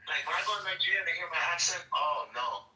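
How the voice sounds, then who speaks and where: A man's voice: a little high, lively intonation, fast, pushed and bright, recorded from a distance. A man in casual chat, laid-back, often repeating himself and laughing between lines. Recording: roomy echo, off the mic.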